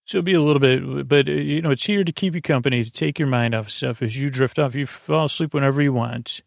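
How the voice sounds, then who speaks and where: A man hosting a bedtime podcast in a meandering, hesitant monologue that drifts off topic, with a gravelly, creaky voice. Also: medium pitch, quick pace, no room sound, studio quality. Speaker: podcast host.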